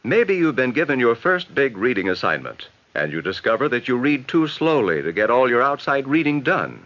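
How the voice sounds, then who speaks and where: An American man reading scripted, instructive narration in a measured, advising way for a 1960s educational film. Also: medium pitch, sing-song, fairly bright tone. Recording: dull vintage 1960s film soundtrack.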